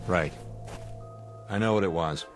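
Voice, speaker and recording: Sarcastic male American space commander, radio play: lowish voice, needling, short on patience. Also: a little slow, soft background music. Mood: puzzled. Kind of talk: radio drama acting.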